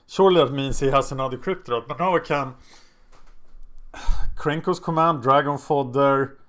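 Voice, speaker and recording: A man's voice: medium pitch, normal speed, fairly bright tone. Swedish-accented man narrating his card game. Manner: thinking aloud, chatty.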